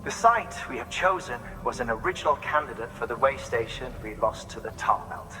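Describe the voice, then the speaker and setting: An actor playing a cerebral, measured, eccentric male scientist in a video game, speaking precisely and formally. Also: medium pitch, lively intonation, pushed and bright, faint music behind, rough recording. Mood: earnest briefing. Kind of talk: video game cutscene acting.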